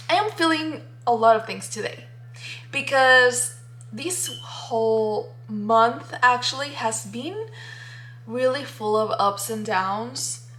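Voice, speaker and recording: A woman's voice: a little high, fairly bright tone, a little hiss or hum. A young woman from the Dominican Republic, talking candidly and laughing often as she shares her painting process in a casual vlog. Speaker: painting vlogger. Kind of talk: casual reflective vlog narration. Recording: light music behind.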